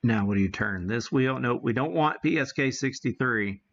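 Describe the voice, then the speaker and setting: An American man hosting a casual live demo, thinking aloud in a folksy way, on muffled low-fidelity stream audio. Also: normal speed, fairly bright tone. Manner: folksy, mild homespun exclamations. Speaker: livestream host demonstrating ham radio gear.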